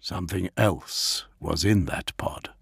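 A man voicing a noble, stern warlord in an audiobook, formal, measured and commanding. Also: deep voice, vocal fry, a bit bright, husky, no room sound, clean studio sound, heavily acted. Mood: troubled. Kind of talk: dramatic audiobook character.

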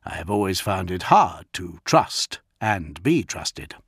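A man's voice: big pitch swings, slow, a bit bright, husky, dry recording, exaggerated voice. Man playing a brooding warlord, terse growled orders, secretive, dramatic audiobook, very dry close sound. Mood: guarded. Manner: low, growling, terse commands. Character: brooding male warlord primarch, proud, secretive, quietly wrathful.

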